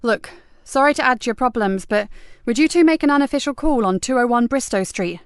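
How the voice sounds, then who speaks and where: A woman's voice: fairly high pitch, lively intonation, fairly quick, clean tone, no room sound, clean studio sound, voice pushed up, lightly acted. A woman voicing an urgent female police inspector who gives clipped, pressing orders. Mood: commanding.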